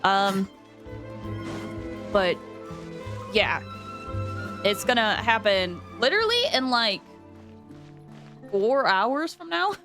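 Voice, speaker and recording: A woman's voice: mid-range voice, animated, slow pace, pauses often, fairly bright tone, soft background music. A woman hosting a game livestream, chatting casually and informally with viewers, often drifting into asides.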